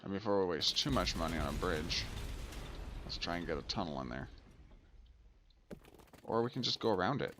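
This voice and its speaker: A man's voice: sits low, animated, moderate pace, long pauses, noisy background. A man giving casual let's play commentary as a gaming host, thinking aloud and muttering to himself.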